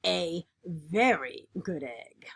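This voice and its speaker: A woman voicing an earnest, dutiful egg from a children's picture book, with expressive and deliberate storytelling. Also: on the low side, gravelly, noisy background, pitched below the performer's own voice, full character voice.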